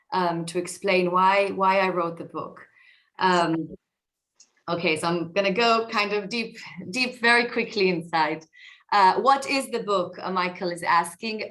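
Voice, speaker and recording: A woman in her forties with an Israeli accent, talking about her life on a video call from her home basement, a little hoarse from a cold, reflective, with many fillers and restarts. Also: medium pitch, fairly bright tone. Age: 40s. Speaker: guest speaker. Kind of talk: personal talk over a video call.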